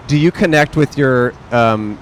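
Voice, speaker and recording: A man's voice: medium pitch, measured pace. A man co-hosting a casual street interview, chatty and laughing often, outdoors on a city street. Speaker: podcast co-host. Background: faint traffic and street ambience.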